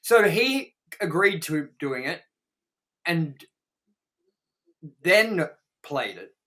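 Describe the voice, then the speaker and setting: Guy with a slightly high voice reading trivia for a review show, bit halting, throws in offhand comments. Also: big pitch swings, pushed and bright, poor audio. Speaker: co-host of a movie review show. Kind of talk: reading out trivia with casual asides.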